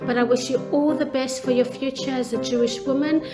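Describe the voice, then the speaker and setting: A woman speaks a heartfelt message as a mother. Her voice is high, and loud music plays behind it. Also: fairly even intonation, a little fast.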